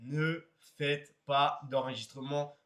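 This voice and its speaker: A man's voice: on the high side, steady tone, slow pace, fairly bright tone, reverberant. A man co-hosting a stream, half-joking as he pleads and repeats himself in casual chat. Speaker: co-host of the reaction stream.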